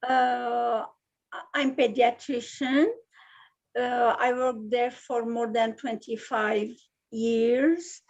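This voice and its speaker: A woman's voice: on the high side, fairly even intonation, darkish tone, lo-fi sound. A middle-aged woman from Syria, introducing herself hesitantly on a panel. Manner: hesitant, halting with fillers.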